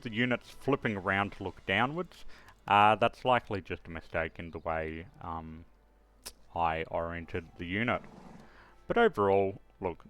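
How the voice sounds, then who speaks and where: Male tutorial presenter, lowish pitch, informal step-by-step explainer delivery. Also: a bit bright. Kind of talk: casual screen-recorded software tutorial narration.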